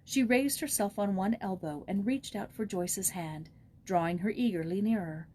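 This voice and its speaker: A woman narrates a storybook in a mellow, mid-pitched voice. She reads from a script as an audiobook narrator, with some background noise on the recording. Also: normal speed.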